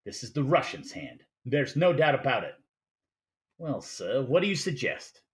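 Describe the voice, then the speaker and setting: An actor playing a courtly, scheming older crime boss in a 1940s-style radio noir, with pompous, wordy politeness. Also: male voice, medium pitch, big pitch swings, normal speed. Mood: eager, grandiose. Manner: pompous, florid, mannered politeness. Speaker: stage actor in a radio play.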